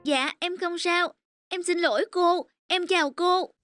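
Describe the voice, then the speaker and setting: A woman voicing an earnest young schoolboy in an animated children's story, with childlike delivery. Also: very high voice, measured pace. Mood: polite. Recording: faint music behind the voice.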